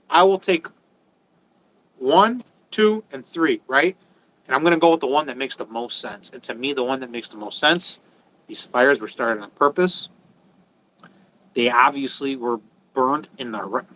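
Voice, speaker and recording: A man's voice: on the high side, sharp tone, poor audio. A man talking casually and bluntly to viewers as a livestream host, rambling through opinions, repeating phrases for stress and often swearing.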